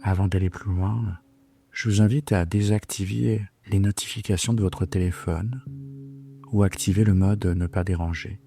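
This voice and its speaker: Deep mellow guy doing a sleep meditation, slow hesitant pauses, soothing, soft guitar behind him. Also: fairly even intonation, gravelly. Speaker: yoga nidra guide. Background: gentle plucked guitar music.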